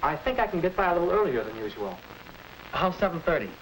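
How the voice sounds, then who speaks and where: Breezy, lively American teenage boy. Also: on the high side, fast. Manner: casual, confident. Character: breezy, self-assured teenage older brother. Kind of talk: 1940s instructional film acting. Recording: old film soundtrack, hissy and somewhat distant.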